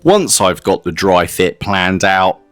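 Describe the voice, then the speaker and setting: A man's voice: low-pitched, very animated, slow pace, fairly bright tone, no echo, soft background music. A man presenting a hobby kit review in a casual, chatty, informal way with a self-deprecating streak. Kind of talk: casual hands-on product review narration.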